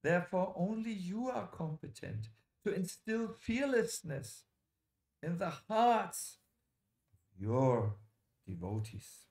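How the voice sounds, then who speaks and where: A man giving a sermon as a religious teacher, telling scripture stories deliberately, with dramatic pauses and direct appeals to his listeners. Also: sits high, big pitch swings, darkish tone. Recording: echoey lecture hall sound.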